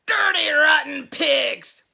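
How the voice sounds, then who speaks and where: A woman voicing a rude, hateful smelly boy creature in a storybook, with a harsh, loud screech and insulting bursts. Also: high-pitched, expressive, takes it slowly, vocal fry, heavily acted. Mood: furious, screeching.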